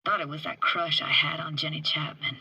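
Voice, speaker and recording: Bright-voiced streetwise young woman techie. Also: deep voice, little pitch movement, fairly quick, gravelly, breathy edge, no room sound, exaggerated voice, rough recording. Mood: wistful. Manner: casual clipped slangy delivery. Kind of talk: video game character dialogue.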